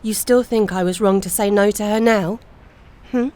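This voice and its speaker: A woman's voice: medium pitch, fairly even intonation, normal speed, darkish tone, slight background noise, lightly acted. A woman playing a worried, practical young woman in an audio play, polite and earnestly questioning. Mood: anxious.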